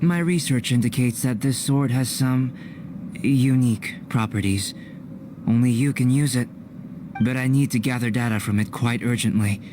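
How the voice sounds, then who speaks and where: Man playing a calm, precise young alchemist, background noise. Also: mid-range voice, natural voice. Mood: composed. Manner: measured, formal, precise, unflappable. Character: calm, cerebral young male alchemist, politely enigmatic. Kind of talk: video game cutscene acting.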